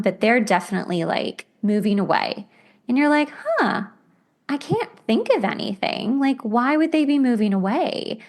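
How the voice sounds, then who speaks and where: A woman hosting a podcast, explaining self-help ideas in a casual, conversational way with imagined everyday examples. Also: mid-range voice. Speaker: podcast host.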